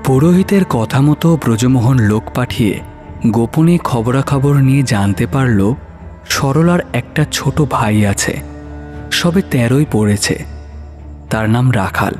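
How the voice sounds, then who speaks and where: A man's voice: medium pitch, animated, unhurried, darkish tone. A man narrating a horror audio story in a grave, dramatic, suspenseful style. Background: music.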